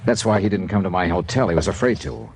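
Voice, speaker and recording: Hard-boiled insurance detective, low and husky, keeps pushing, old-time radio. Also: male voice, animated, fast talker, exaggerated voice. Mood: pressing. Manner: blunt, pressing, hard-boiled. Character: tough, blunt male insurance investigator, adult. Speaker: insurance investigator and narrator. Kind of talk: 1950s radio drama acting.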